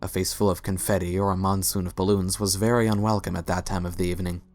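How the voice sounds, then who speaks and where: A man's voice: low voice, little pitch movement, fast talker, faint music behind. A man narrating a scripted story as a dramatic reading.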